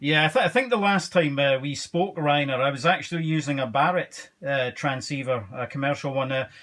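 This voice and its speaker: A man's voice: a little high, fairly bright tone. Hesitant man chatting on ham radio. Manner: hesitant, halting phrasing with radio procedure words. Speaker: amateur radio operator. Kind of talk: casual ham radio exchange.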